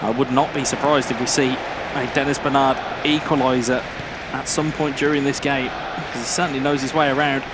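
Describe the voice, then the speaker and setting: A man's voice: medium pitch, little pitch movement, fairly quick. Male analyst co-commentator, thoughtful, some hesitation, noisy background. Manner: reflective, hesitant with fillers. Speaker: co-commentator, former competitor. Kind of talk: live esports commentary analysis.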